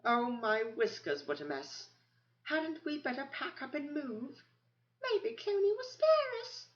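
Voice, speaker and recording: A man's voice: fairly high pitch, very animated, takes it slowly, a bit bright, reverberant, muffled recording, voice pushed up, heavily acted. A man voicing frightened timid mice who squeal and fret. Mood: panicky.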